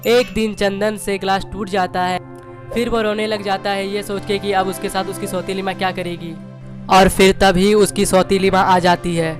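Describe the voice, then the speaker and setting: A woman's voice: mid-range voice, level tone, normal speed. A woman reading a scripted children's story as its narrator. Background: music.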